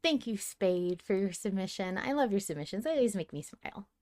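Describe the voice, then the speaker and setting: A woman's voice: medium pitch, animated, fairly quick, darkish tone. A woman chatting casually and playfully as the host of a fan podcast, in an informal way.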